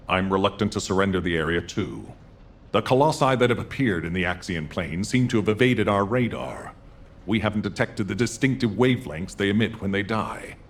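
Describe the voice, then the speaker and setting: Composed military commander, deep, authoritative, measured briefing, video game. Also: male voice, a little hiss or hum.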